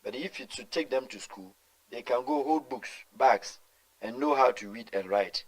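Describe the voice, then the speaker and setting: A man's voice: mid-range voice, moderate pace, rough recording. A middle-aged Ghanaian man answering in an interview, talking emphatically and mixing in Twi, with stumbles and repeated words. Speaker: guest.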